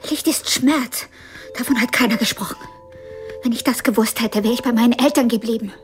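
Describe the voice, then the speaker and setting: A woman's voice: fairly high pitch, a little fast, faint music behind, close to an everyday voice. A woman playing an exhausted, sensitive young blind musician in a radio drama, with a plaintive delivery. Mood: distressed, bitter.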